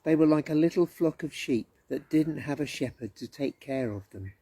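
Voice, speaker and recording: A man reading a Bible story for children in a gentle, expressive storytelling manner. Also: a little high, normal speed, dry recording. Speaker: story reader at a church.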